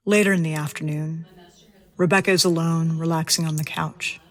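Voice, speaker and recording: A woman's voice: low-pitched, fairly even intonation, normal speed. A woman reading scripted narration for an audio drama in a detached, factual manner.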